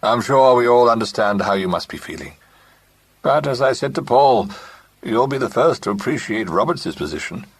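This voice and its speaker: A man's voice: medium pitch, lively intonation, moderate pace, slightly rough, voice pushed up. A man voicing a pompous older businessman who talks ponderously with a false heartiness. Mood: sympathetic. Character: pompous older male company partner.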